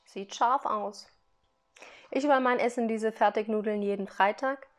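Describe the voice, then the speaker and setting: A woman's voice: on the high side, moderate pace, soft background music. Chatty woman vlogging. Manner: chatty, laughs between remarks; explains items one by one to viewers. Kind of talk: casual product haul vlog.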